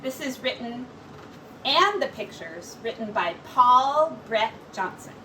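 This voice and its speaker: A woman teacher reading a picture book aloud in slow, expressive storytelling. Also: a little high, recorded from a distance, slight background noise, poor audio.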